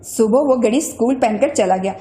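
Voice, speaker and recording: A woman tells a children's moral story from a script. Her voice is notably high. The recording carries a clear room echo. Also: normal speed, warm tone. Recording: roomy echo.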